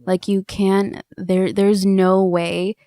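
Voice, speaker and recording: A woman's voice: mid-range voice, level tone, slow pace, dark tone, clean tone, dry recording, studio quality. A woman co-hosting a podcast, giving earnest, effusive feedback in a conversational way and piling up descriptions. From immigrant to the US. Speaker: podcast co-host.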